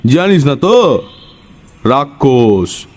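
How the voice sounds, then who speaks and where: A man voicing an ominous figure who confides a secret in a horror story, with a drawn-out delivery. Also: medium pitch, sing-song, pitched above the performer's own voice, heavily acted. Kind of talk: dramatic audio storytelling.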